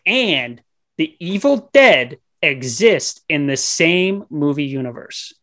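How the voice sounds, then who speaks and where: A man's voice: a little high, very animated, takes it slowly, fairly bright tone. A man co-hosting a podcast, casually retelling a movie in a rambling, slangy, profane way. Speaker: podcast co-host. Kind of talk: casual movie review banter.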